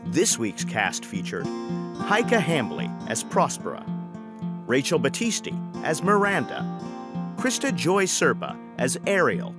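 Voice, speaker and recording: Guy reading scripted credits, very lively up and down voice, loud guitar music behind him. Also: fairly high pitch, measured pace. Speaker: announcer. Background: plucked guitar music.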